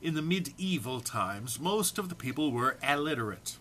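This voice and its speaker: A man reads comic prose in a bright, fairly high voice. His delivery is theatrical and very animated, with emphatic, drawn-out phrases and an amused edge. The recording carries faint noise. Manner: amused, prone to breaking into laughter. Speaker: reader of a humorous text. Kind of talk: dramatic comic reading of a written text.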